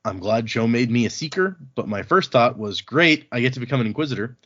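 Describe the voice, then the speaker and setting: A man's voice: medium pitch, expressive, a little fast, a bit bright, dull audio. A man from Texas hosting a podcast, chatting casually and reading out listener mail. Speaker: podcast host.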